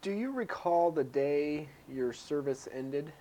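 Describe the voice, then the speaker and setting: A man's voice: fairly high pitch, lively intonation, slow pace, dark tone, lo-fi sound. A man asking questions as the interviewer in an oral history interview.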